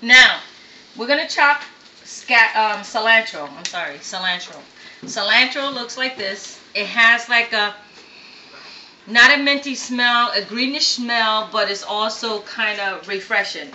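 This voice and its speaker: A woman born in Vietnam walks viewers through a recipe in her home kitchen, chatty and step by step. Her voice is bright, and the kitchen sounds roomy and echoey, with a faint hum of background noise. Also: on the high side, a little slow. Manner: chatty, explains step by step, frequent okay checks. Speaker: cooking show host. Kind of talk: home cooking demonstration.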